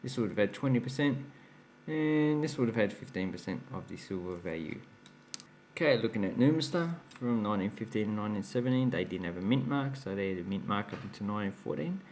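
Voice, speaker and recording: A man's voice: lowish voice, expressive, a little fast, darkish tone, slight background noise. A man hosting a casual coin-collecting vlog, chatty and explanatory, laughing at his own slips. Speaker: coin collecting channel host. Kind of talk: casual show-and-tell vlog.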